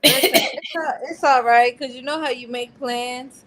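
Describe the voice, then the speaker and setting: High-voiced woman, casual and playful. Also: fairly even intonation, normal speed, a bit bright, slight background noise. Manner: playful, slangy, self-joking. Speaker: book discussion co-host. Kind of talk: casual book club chat, some synopsis reading.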